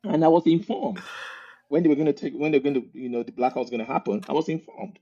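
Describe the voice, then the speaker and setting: A man with a Nigerian accent talking as a podcast guest, telling animated stories and laughing mid-sentence. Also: fairly high pitch, fast talker, gravelly, lo-fi sound. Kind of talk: casual interview conversation.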